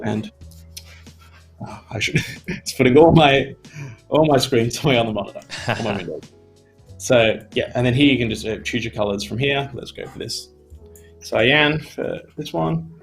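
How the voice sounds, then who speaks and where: A young man from Australia talks through an animation lesson in a loose, chatty way, full of small fillers. His voice is gravelly, with soft music playing faintly underneath. He is at a desk in his home studio. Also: mid-range voice. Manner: relaxed, chatty, many fillers like "you know". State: voice tiring. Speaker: animation instructor. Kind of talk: casual live-stream tutorial.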